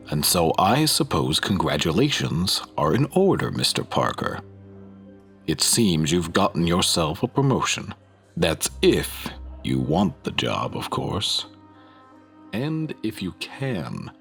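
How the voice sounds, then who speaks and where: Actor as slow, deep, menacing crime boss. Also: male voice, big pitch swings, gravelly, a bit bright, faint music behind, exaggerated voice. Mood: smug menace. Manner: measured, formal, pompous. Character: powerful, menacing male crime-boss newspaper owner. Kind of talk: comic dub acting.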